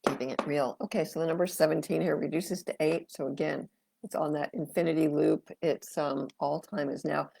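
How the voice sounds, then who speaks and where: A woman's voice: sits low, fairly even intonation, brisk, gravelly, mellow, breathy edge. A middle-aged woman reading tarot cards on a live stream. She talks in a chatty, rambling way with many fillers and answers viewers' comments, and her voice is going. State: losing her voice. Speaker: tarot reader and astrologer.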